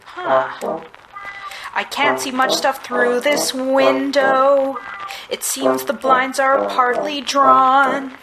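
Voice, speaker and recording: A woman playing a wry, down-on-their-luck androgynous private detective in a musical adventure game, musing and deadpan. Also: high-pitched, drawn-out words, exaggerated voice, rough recording. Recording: loud music behind voice. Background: loud music.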